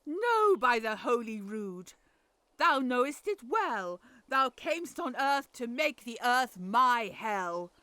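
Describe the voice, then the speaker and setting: A woman's voice: fairly high pitch, sing-song, takes it slowly, bright, forceful tone, dry recording, full character voice. A bitter old royal mother. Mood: scornful. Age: older. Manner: bitter, grieving, theatrical curses. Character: aged royal mother cursing her tyrant son. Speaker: actor. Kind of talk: Shakespearean audio drama.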